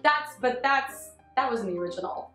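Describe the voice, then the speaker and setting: A woman chatting as a panelist in a casual fan review, animated and self-deprecating, acting out her own reactions. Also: high-pitched, a little fast. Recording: roomy echo, faint background music.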